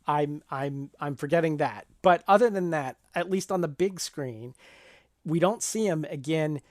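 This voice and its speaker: A man with a fairly high voice talks through films as a podcast co-host. His delivery is halting and explanatory, and the sound is close and dry, with little room around it. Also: no echo. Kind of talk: casual film discussion.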